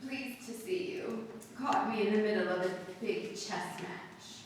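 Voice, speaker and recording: Heavy echo and faint noise on a distant mic. A woman acts an elderly female shopkeeper in a radio play, slow-talking, folksy, blunt and full of opinions. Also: medium pitch, close to an everyday voice. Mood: chatty, folksy. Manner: folksy, plain-spoken, unhurried. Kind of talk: old-time radio drama acting. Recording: very echoey hall, distant mic, noisy.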